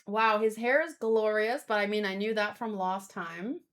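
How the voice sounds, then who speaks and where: A woman hosting a read-along in a casual live commentary style, with expressive, exclamatory reactions. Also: mid-range voice, moderate pace. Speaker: host of a manga read-along stream.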